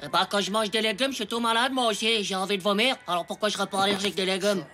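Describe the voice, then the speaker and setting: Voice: mid-range voice, steady tone, rapid-fire, pushed and bright, natural voice. An actor playing a cheeky, naive young schoolboy in a French cartoon, brash, slangy and insistent. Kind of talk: French animated cartoon acting.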